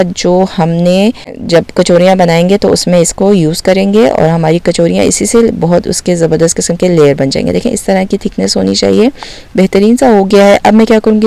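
A woman from Baltistan hosting a home cooking channel, calmly explaining each step of a recipe to the viewer. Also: medium pitch, gravelly, no room sound. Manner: calm, explanatory, addresses viewer directly.

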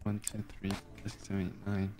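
A man's voice: low-pitched, little pitch movement, moderate pace, gravelly, over music. A man playing a game for the first time over voice chat, unsure and reading out the settings. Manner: unsure, apologetic, reads settings aloud. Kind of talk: casual gaming chat.

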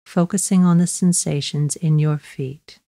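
Low, mellow woman guiding a meditation. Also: steady tone, gravelly, no room sound, studio quality. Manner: slow, measured, gently instructive with long pauses. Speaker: mindfulness meditation guide. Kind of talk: guided body scan meditation narration.

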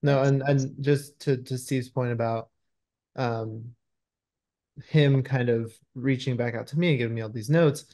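A man's voice: mid-range voice, steady tone, measured pace, darkish tone. A man in his thirties, a screenwriter answering interview questions conversationally over a video call from a room at home.

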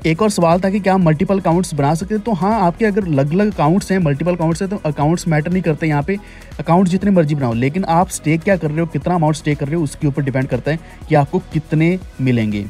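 Male host, slightly higher voice, repeats and urges viewers, screen-recording tutorial. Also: fast talker, mellow, faint music behind. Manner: explanatory, repetitive, urging viewers. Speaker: crypto channel host.

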